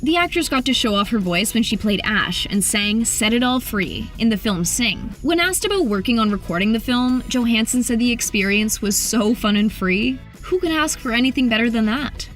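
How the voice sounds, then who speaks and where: A woman's voice: a little high, animated, moderate pace, hardly any pauses, fairly bright tone, soft background music. A woman reading scripted entertainment narration as a video narrator.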